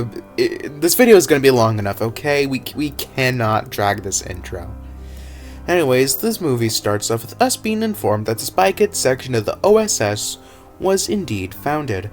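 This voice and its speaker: A man talking casually through a movie analysis, animated and exasperated, tripping over his words now and then while his voice recovers. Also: medium pitch. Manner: animated, exasperated, rambling with self-corrections. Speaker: video essayist.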